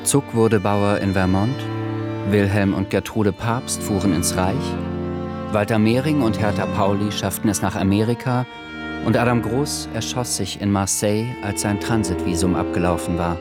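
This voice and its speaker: An actor playing a sober, grave narrator of a historical radio drama, telling it in a measured way. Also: male voice, fairly low pitch, steady tone, loud music behind the voice, lightly acted.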